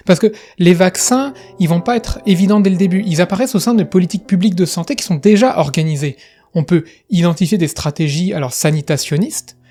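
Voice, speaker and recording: Male video essayist, slightly high mellow voice, talks casually with asides over faint music. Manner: chatty, with asides and fillers. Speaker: educational video host. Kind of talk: casual explanatory narration.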